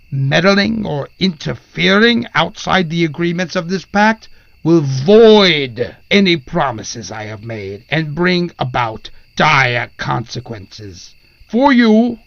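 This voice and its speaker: A sinister, courtly spirit from a horror audio drama, speaks in formal, archaic phrases with theatrical menace. The voice is rather high and gravelly, and it gloats. The sound is dry and somewhat muffled. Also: male voice, fairly bright tone, no echo, exaggerated voice. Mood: menacing.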